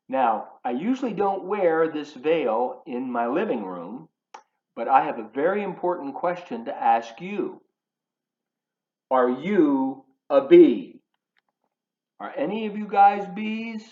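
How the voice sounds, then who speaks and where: Slow-paced man reading to kids, lively pitch. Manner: playful, addresses children directly with questions. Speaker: volunteer children's book reader. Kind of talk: read-aloud of a picture book for young children, with asides. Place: living room at home. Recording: roomy, slightly dull home video sound.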